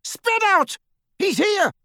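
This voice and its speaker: A menacing hooded henchman of a dark wizard. The voice leaps far up into a high, strained yell. He calls out drawn-out taunts as he hunts his prey. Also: sing-song, no echo, studio quality, heavily acted. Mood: fierce, commanding. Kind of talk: audiobook character voice.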